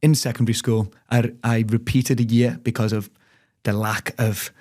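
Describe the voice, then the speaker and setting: Guest, male, 30s, light Scouse accent, mid-pitched, animated and chatty storyteller. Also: normal speed. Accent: light Liverpool (Scouse). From England, raised in Spain. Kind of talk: casual interview chat.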